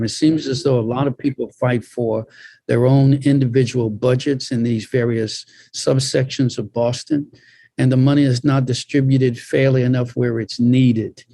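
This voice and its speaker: A man who is a pastor on a discussion panel, speaking in a measured way with emphatic repetition and pauses. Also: mid-range voice, fairly even intonation, warm tone, no echo. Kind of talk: panel discussion, deliberate remarks.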